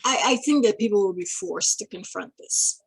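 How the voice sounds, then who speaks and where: Woman from Guyana, middle-aged, husky, gravelly edge, halting and reflective. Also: mid-range voice, animated, dry recording. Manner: thoughtful, halting, many restarts and fillers. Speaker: interviewee. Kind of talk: casual reflective talk.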